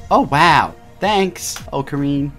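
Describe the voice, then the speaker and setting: Sweet teen childhood friend, innocent and cheery, raised pitch male reader, visual novel with music behind. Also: expressive, slow, a bit bright. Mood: cheerful, puzzled. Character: sweet, cheerful sixteen-year-old girl, childhood friend.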